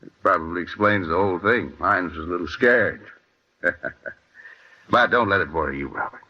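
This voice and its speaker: A man's voice: sits low, normal speed. An actor playing a kindly, persuasive boss in an old-time radio drama, earnest, coaxing and fatherly. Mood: reassuring, fatherly. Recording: dull vintage radio drama recording.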